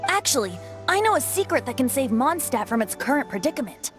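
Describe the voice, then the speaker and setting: Boy bard for fantasy game: high, very animated, theatrical, sly and cajoling. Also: fairly quick. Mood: playful, coaxing. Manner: playful, grandiose, cajoling. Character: cheeky, theatrical young male bard, a god in disguise. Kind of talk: fantasy video game voice acting. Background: background music.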